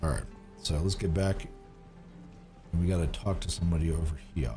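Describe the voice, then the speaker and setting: A man's voice: low voice, steady tone, fairly quick, warm tone, soft background music. A man streaming a game and chatting to viewers, rambling with lots of fillers and restarts while getting over a cold. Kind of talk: casual gaming livestream chat.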